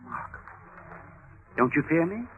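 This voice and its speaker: A man's voice: a little high, very animated, normal speed, a little hiss or hum. An actor in a 1950s radio drama playing a meek, polite little man who hesitantly boasts of being a brutal murderer. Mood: ominous bluster. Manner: blustering, hesitant, stammering. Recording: tinny, narrow 1950s radio recording.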